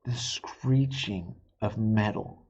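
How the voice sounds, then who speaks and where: A man reading a children's story aloud in a playful, expressive way, with a husky voice. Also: lowish voice, takes it slowly, muffled recording. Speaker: storybook reader.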